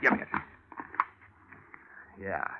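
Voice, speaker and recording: An American man playing a wisecracking, hard-boiled insurance investigator in an old radio detective drama, with a wry, terse, deadpan delivery. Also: slow pace, background noise, lightly acted. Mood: hard, demanding. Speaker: lead actor and narrator in a detective radio drama. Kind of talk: 1940s-50s radio acting, first-person scripted narration. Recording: muffled vintage radio broadcast with music.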